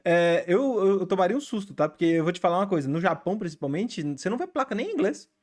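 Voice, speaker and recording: A young Brazilian man hosting a reaction video, chatting casually and excitably with frequent filler tags. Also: sits high, quick pace. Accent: Brazilian Portuguese.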